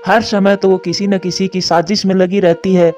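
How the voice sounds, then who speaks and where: A man's voice: high-pitched, level tone, moderate pace, a little mellow, faint music behind, natural voice. A man voicing a weary, ageing father bird in a cartoon, lamenting earnestly and plaintively, on a dull lo-fi recording. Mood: bitter lament. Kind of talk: Hindi cartoon voice acting.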